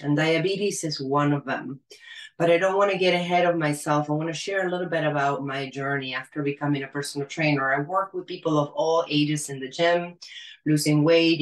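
A woman, telling her personal story as a guest in an earnest, conversational way over a video call. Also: sits low. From Argentina. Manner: earnest, conversational, frequent "you know" and "right?". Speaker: wellness consultant guest. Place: small room against a plain wall. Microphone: video call, earbuds with cord. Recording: echoey room on a video call.

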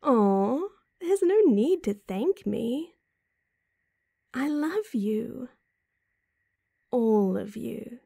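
A woman's voice: a little high, animated, extremely slow, long pauses, warm tone, dry recording, studio quality, exaggerated voice. A woman playing a sweet, supportive, teasing girlfriend in an intimate roleplay audio drama, talking affectionately to the listener. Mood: tender. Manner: affectionate, teasing, breathy chuckles and sighs. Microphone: close mic, dry.

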